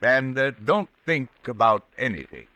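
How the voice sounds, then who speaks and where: An actor playing a concerned, reassuring middle-aged gentleman in 1940s radio style, placating and old-fashioned in manner. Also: medium pitch, sing-song, normal speed, no echo, soft background music. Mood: concerned, soothing. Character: concerned, reassuring male doctor and friend. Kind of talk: 1940s radio suspense acting. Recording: dry vintage radio drama recording.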